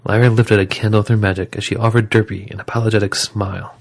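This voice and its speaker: Low-voiced male story narrator. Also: little pitch movement, fast. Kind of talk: scripted story narration for audio drama.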